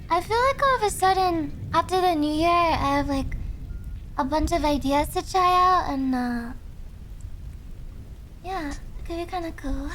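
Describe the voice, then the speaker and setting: Soft-spoken young woman streamer. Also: extremely high pitch. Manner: soft-spoken, hesitant, many fillers. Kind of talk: casual chat with viewers. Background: faint background music.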